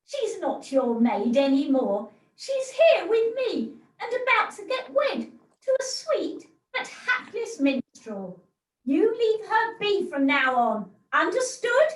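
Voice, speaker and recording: A woman's voice: very high-pitched, big pitch swings, slow pace, heavily acted. Woman playing a swooping, bossy pantomime fixer. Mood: sly, firm. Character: bossy, bargaining pantomime fixer, firm and sly. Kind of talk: amateur pantomime acting. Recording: roomy, distant home video-call recording.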